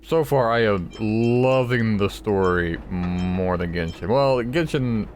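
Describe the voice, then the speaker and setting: A man giving casual, rambling let's play commentary, halting as he goes and swearing casually. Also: fairly low pitch, a little mellow, faint music behind. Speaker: gaming video creator.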